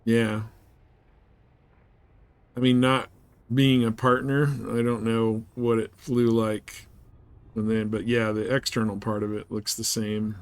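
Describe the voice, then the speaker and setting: A man's voice: on the low side, level tone, takes it slowly, pauses often. A man chatting informally and slangily as he gives rambling live commentary on a video game he is streaming. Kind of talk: casual live gameplay commentary. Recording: clear background noise.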